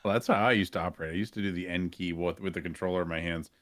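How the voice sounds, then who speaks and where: A man with a deep, low voice in relaxed conversation. He talks informally, like a gamer chatting with fellow streamers. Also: very fast. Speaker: guest gaming streamer. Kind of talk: casual chat.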